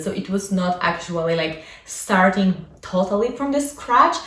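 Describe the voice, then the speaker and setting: Woman, young adult, Polish native speaking, casual vlog chat, lots of fillers, roomy echo, a bit off-mic. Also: mid-range voice. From Poland. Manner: chatty, frequent fillers like "like" and "you know". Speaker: vlogger and coach. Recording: echoey room, mic at a distance.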